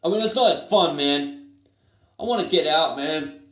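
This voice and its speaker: A man's voice: fairly high pitch, lively intonation, moderate pace, pitched below the performer's own voice. Male performer: a hot-tempered critter stuck as a duck, rants and wails in a roomy space. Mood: grumbling. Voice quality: shouty, whiny, grumbling. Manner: dramatic, wails and complains. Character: hot-headed male echidna turned into a duck. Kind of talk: playful homemade toy play. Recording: roomy echoey room.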